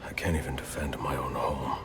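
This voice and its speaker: An actor playing a grim, brooding masked vigilante in a video game, with a gravelly growl, burdened by guilt. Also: male voice, rumbling low, little pitch movement, fairly quick, music in the background. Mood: despairing. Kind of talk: video game cinematic acting.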